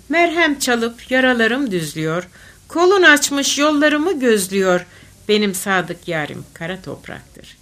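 Slow, expressive woman reciting poetry. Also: on the high side. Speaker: poetry reader.

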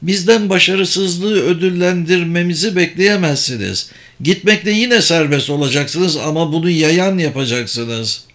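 A man's voice: a little high, unhurried, a bit bright, voice pushed up. A man voicing a superior, persuasive sorcerer in an audiobook, speaking formally and insistently. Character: persuasive, superior sorcerer who runs secret political games.

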